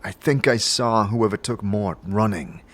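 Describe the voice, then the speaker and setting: A man playing a weary, shaken adventurer in a fantasy audio drama, terse and halting. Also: on the low side, a bit bright. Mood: haunted, halting. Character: weary, shaken male adventurer, blunt and impatient.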